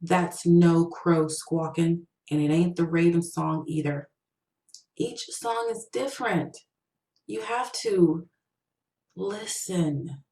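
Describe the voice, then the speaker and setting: A woman's voice: lowish voice, slow pace, dark tone. Woman reading as a grave, weary man, echoey room. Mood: firm, instructive. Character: Danny, solemn, weary man, superstitious ex-serviceman. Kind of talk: short-story audiobook character voice.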